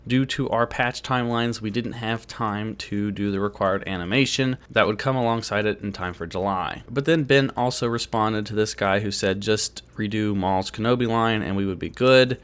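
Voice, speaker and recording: A man giving casual gaming news commentary as a YouTuber. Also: on the low side.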